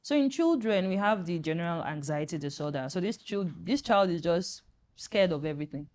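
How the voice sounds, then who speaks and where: A woman doctor speaking on a panel. Her voice sits a little low, and she talks earnestly and reassuringly, dropping in "you know" as she explains. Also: expressive, brisk, a little mellow. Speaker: pediatric doctor and guest. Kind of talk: explaining to parents in a panel chat.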